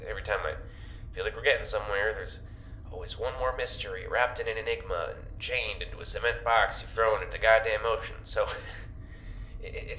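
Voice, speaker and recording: A man's voice: fairly low pitch, lightly acted. An actor playing an anxious, overwhelmed young man who stammers and swears casually. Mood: subdued. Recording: muffled echoey room with faint hiss.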